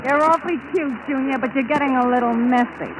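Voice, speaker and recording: A woman's voice: sits high, fast, lightly acted. An actress playing a flirtatious, teasing woman in a bar, with a playful drawl, in a 1940s radio drama. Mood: teasing, flirty. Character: flirtatious, teasing barroom woman, a spy's companion. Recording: noisy 1940s radio broadcast recording.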